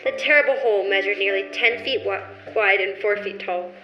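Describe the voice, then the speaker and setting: Casting: female storyteller for vintage-style radio drama, mid-range voice, muffled sound over soft music. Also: medium pitch, fairly quick. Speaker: narrator. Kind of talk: scripted radio play narration. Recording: dull lo-fi recording.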